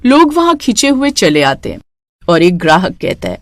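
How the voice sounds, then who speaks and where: A woman's voice: on the low side, wildly swooping pitch, moderate pace, dry recording, clean studio sound. A woman narrating a scripted cartoon story as its storyteller.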